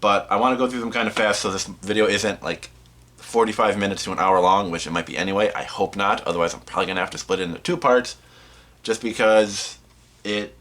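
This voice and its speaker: A man hosting a casual movie collection vlog, rambling and halting as he interrupts himself, slightly sniffly from a cold. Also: fairly low pitch, fairly bright tone. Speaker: host of a movie collection video channel.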